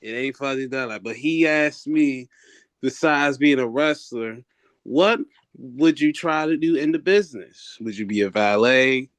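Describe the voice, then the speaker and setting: A man co-hosting a wrestling podcast in casual chat, with a smooth, laid-back way of talking. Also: mid-range voice, a little slow, a bit bright, no room sound. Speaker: podcast co-host, commentator.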